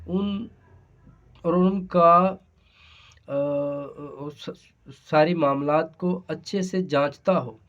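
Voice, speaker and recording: A man with a Pakistani accent giving an explanatory law lesson, speaking hesitantly and repeating his phrases. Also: fairly high pitch. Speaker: law lecturer. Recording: dull, lo-fi sound.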